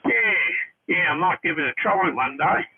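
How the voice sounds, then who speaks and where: A man chatting casually over ham radio as a hobbyist, hesitant and halting in his delivery. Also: high voice, animated, background noise. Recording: tinny band-limited radio link.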